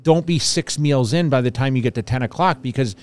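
Guy hosting a podcast, mid pitch, goes on long emphatic tangents. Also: a little fast, a little mellow. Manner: emphatic, rambling explanations. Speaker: podcast host. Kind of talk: casual podcast chat.

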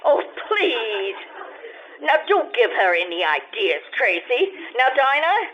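A high, swooping voice for a genteel society mother played by an actress, fluttery and proper. She scolds gently and unhurriedly in an old-time radio play, with a slightly dull, noisy sound. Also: big pitch swings, slow pace, full character voice. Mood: fretful. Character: genteel, fretful society mother of grown daughter. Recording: muffled, noisy live radio stage recording.

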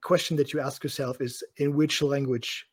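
German man, husky voice, rambling talk. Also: mid-range voice, flat delivery, moderate pace. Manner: repeats words and restarts, laughs often. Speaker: podcast co-host. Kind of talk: casual chat.

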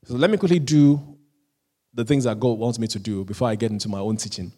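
A pastor preaching a sermon, often repeating and restarting phrases, and chuckling at himself now and then. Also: male voice, medium pitch. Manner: rises into louder, slower emphatic reading of scripture.

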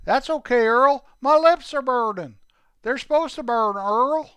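A man's voice: very high voice, a little slow, fairly bright tone, smooth, no room sound, pitched above the performer's own voice. A man voicing Forky, an eager, cheerful young boy who knows the tricks and keeps calling his pal by name. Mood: cheerful, proud. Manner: ends nearly every sentence with his pal's name.

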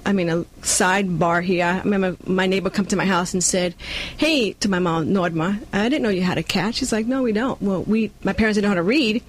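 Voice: mid-range voice, a little fast. A person with a New York accent and Spanish as a first language, earnestly answering interview questions as a community organizer and acting out quotes along the way. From born in Cuba, grew up in Brooklyn, New York. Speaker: community nonprofit leader being interviewed.

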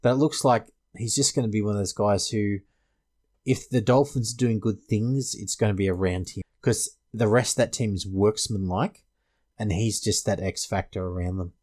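A man's voice: fairly low pitch, steady tone, moderate pace, darkish tone. A man with an Australian accent hosting a casual fantasy sports panel discussion. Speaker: podcast host.

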